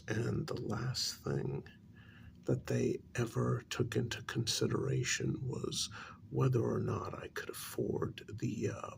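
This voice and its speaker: A man playing a patient middle-aged couples therapist in a soft ASMR role-play, speaking low, often near a whisper, in a measured, halting, placating way. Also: vocal fry, a little hiss or hum, heavily acted.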